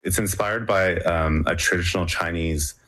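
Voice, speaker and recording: A man's voice: deep voice, normal speed, fairly bright tone. A young man from Hong Kong, a musician, talking casually in an interview with many fillers and restarts. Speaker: musician guest.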